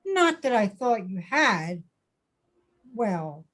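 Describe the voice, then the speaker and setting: A woman's voice: mid-range voice, sing-song, very slow, fairly bright tone, full character voice. A woman playing a worried, exasperated friend in a drama table read, speaking urgently. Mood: anxious. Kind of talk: screenplay table read acting.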